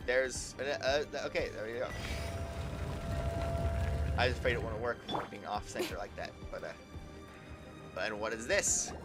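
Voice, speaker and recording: Halting male game streamer over music. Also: fairly low pitch. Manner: halting, repeats words while thinking aloud. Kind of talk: casual live gameplay commentary.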